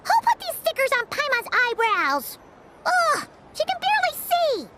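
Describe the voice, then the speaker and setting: A woman's voice: extremely high pitch, wildly swooping pitch, moderate pace, heavy background noise, full character voice. A woman voicing a tiny, perky, childlike fairy companion in a video game, exclamatory and easily indignant. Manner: refers to self in third person, exclamatory. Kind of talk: video game cutscene acting.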